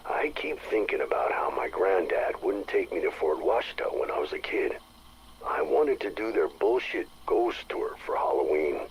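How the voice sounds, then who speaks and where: A plain-spoken chief of security with a low voice, recording an informal game log. He muses wryly and warily, with faint noise in the background. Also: rough recording. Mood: musing. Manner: wry, musing, plain-spoken. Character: wry, uneasy male security chief, superstitiously wary.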